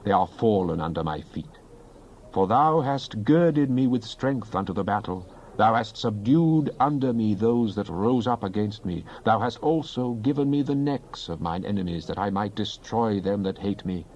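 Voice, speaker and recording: A man's voice: on the low side, expressive, moderate pace, darkish tone, a little hiss or hum. Solemn man reading scripture, slightly dull audio. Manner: solemn, declamatory delivery. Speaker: scripture reader. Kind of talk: dramatized Bible reading.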